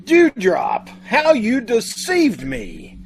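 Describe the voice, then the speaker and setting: Man acting a slow, sappy lovestruck bridegroom. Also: very high-pitched, very animated, creaky, full character voice. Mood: wounded. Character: gullible, lovestruck, boyish bridegroom, meek and sappy. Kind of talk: old-time radio comedy-mystery acting.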